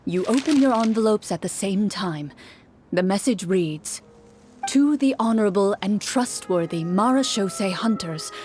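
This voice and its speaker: A composed female game master, played by a voice actress, with a mid-pitched voice. She narrates a fantasy adventure in measured, theatrical phrases over faint music. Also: a little mellow, lightly acted. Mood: grave. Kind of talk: scripted storytelling narration.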